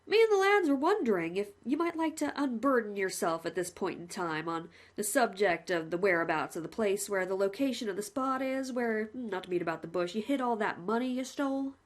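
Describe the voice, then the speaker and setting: A woman's voice: mid-range voice, lively intonation, a little fast, hardly any pauses, natural voice. A woman voicing a cheerful male prison warden who talks on and on politely, saying sir often. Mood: chatty. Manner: chatty, overly polite, says sir constantly.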